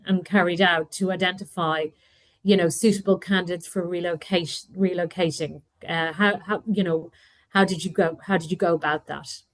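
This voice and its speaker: A woman hosting a webinar over a video call, friendly as she prompts her guests with questions. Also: fairly low pitch. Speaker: webinar host.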